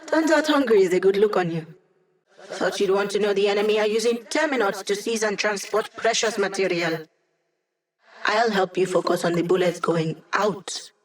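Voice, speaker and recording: A woman's voice: mid-range voice, close to an everyday voice. An actress voicing a witty young female hacker in a video game, a digitized mind who helps a squad with breezy, wry, techy teasing. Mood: upbeat, explaining. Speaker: mission support character in a video game.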